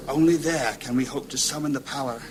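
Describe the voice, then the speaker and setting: A man performs a grave wizard leader in a fantasy audio drama, in a mid-to-high male voice. He speaks with formal, solemn authority, and strong music plays behind him. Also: brisk, natural voice. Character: grave male archmage leading a council of wizards. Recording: loud orchestral music behind.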